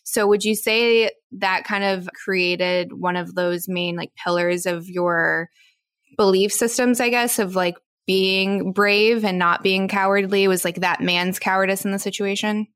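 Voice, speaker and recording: A woman's voice: medium pitch, fairly even intonation, measured pace, fairly bright tone, smooth, no echo, studio quality. A woman hosting a podcast, asking curious, casual questions. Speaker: podcast host. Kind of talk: interview questions.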